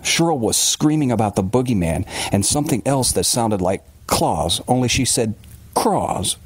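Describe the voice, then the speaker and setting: A man's voice: mid-range voice, lively intonation, moderate pace, husky, dry recording, voice pushed up. A man playing an agitated, defensive working-class father confessing a horror in blunt, colloquial words, as in an audiobook. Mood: uneasy, haunted.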